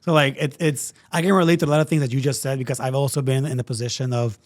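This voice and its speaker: A man's voice: mid-range voice, steady tone. A man from Aruba co-hosting a podcast, telling stories in a blunt, joking way. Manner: fast, blunt, joking storyteller. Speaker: podcast co-host. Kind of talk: casual podcast chat.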